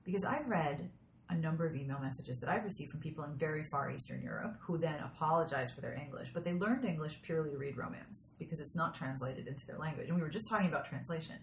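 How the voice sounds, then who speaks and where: A woman hosting a casual interview, playful and quick to interject with wry remarks. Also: sits low. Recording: echoey room with crowd noise behind. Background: crowd chatter.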